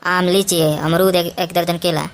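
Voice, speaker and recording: A woman's voice: fairly low pitch, flat delivery, moderate pace, close to an everyday voice. A woman voicing a cheeky, argumentative schoolboy with a Bhojpuri accent, brash and colloquial, in a cartoon comedy. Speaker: voice actor.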